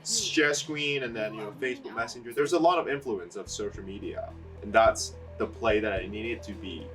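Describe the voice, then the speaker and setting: Young man, lowish voice, loose and chatty, heard from a distance. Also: normal speed. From Hong Kong. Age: young adult. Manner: relaxed, rambling, full of "like" and "you know". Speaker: filmmaker interviewee. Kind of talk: casual interview answers. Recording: mic at a distance, music underneath. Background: background music.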